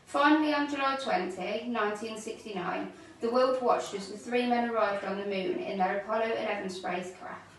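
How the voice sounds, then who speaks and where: A woman's voice: mid-range voice, moderate pace. Woman, storytime reader, mid-range voice, strong room echo, off-mic. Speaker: school staff member reading aloud. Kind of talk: storybook read-aloud. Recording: very echoey, distant mic, faint noise.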